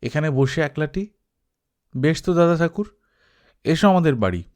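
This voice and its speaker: A man's voice: on the high side, expressive, moderate pace, voice pushed up, lightly acted. Man voicing a friendly, concerned village lad. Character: friendly, concerned village youth, eldest son.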